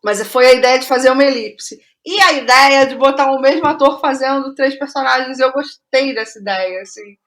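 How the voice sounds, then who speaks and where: A woman's voice: high-pitched, moderate pace, few pauses, a bit bright. A Brazilian woman being interviewed as a playwright, talking informally and reflectively about her creative process, often repeating phrases as she goes. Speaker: playwright guest.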